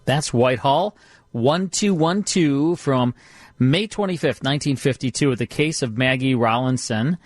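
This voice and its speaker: An American man hosts a radio show in a casual, conversational way. His voice sits at a medium pitch and sounds dry, as in a studio. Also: normal speed, no echo.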